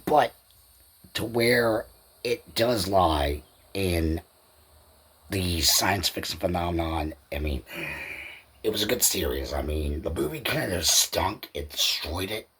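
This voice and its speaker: Deep, husky-voiced man rambling on a podcast. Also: animated, takes it slowly, long pauses, a bit bright. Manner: rambling, repetitive, informal. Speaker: podcast host. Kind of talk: unscripted solo podcast talk.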